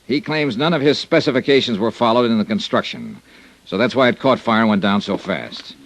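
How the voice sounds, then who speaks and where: An American actor playing a hard-boiled insurance investigator in an old radio serial, clipped, dry and blunt. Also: male voice, medium pitch, fairly quick, a little hiss or hum, close to an everyday voice, low-quality recording. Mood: brisk briefing. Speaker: lead actor and narrator of radio detective serial. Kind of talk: old-time radio detective acting and narration. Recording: old radio broadcast recording.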